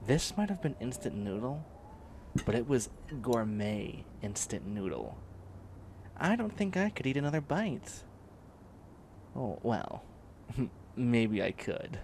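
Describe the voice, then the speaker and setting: Animated, whiny, bossy catboy, acted by a man. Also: medium pitch, slow pace, long pauses, slight background noise. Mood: content, defensive. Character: bossy, clingy young man turning into a catboy. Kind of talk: comedic roleplay audio drama acting.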